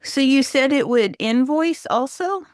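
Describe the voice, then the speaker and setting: A woman's voice: high-pitched, animated, measured pace, no room sound, clean studio sound. A woman hosting a podcast, chatting informally and teasing her guest in a self-deprecating way. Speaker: podcast host. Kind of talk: casual interview chat.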